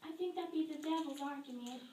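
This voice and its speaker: A woman's voice: sits high, a little fast, dark tone, close to an everyday voice. A woman playing a reserved, stoic Puritan farmer's wife in an amateur play, speaking tersely and with restraint. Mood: quietly firm. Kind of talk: amateur stage-play acting. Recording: noisy, echoey room, distant mic.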